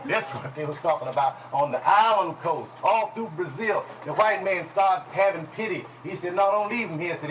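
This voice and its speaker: A man's voice: a little high, a bit bright, a little hiss or hum, rough recording. Folksy preaching man, call-and-response. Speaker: teacher. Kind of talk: preaching Bible lesson. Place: congregation hall.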